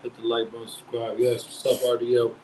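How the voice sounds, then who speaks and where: A man's voice: medium pitch, completely flat delivery, fairly quick, a little hiss or hum, low-quality recording. A man hosting a live-stream talk show in casual, slangy chat, greeting viewers by name and then speaking earnestly at length. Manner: slangy and conversational, reads out viewer shout-outs.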